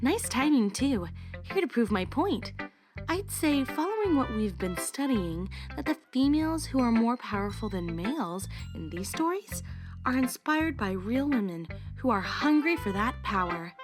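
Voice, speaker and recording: A woman's voice: fairly high pitch, sing-song, moderate pace. A woman playing an upbeat, bossy teenage girl club president in a visual novel fan dub. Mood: confident, welcoming. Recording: music behind the voice.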